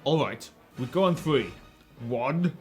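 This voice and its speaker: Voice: medium pitch, lively intonation, slow pace, breathy edge. Someone playing brave defiant cartoon guard, firm urgent orders, bit of music behind. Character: defiant, resolute underwater city guard leading an escape. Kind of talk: fan dub animation acting.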